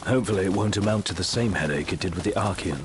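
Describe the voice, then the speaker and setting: A man's voice: deep voice, very fast, noisy background, lightly acted. A man playing a proper, concerned royal adviser in a video game, with formal and precise wording. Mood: concerned, dry. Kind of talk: dramatic video game voice acting. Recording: game sound effects behind voice.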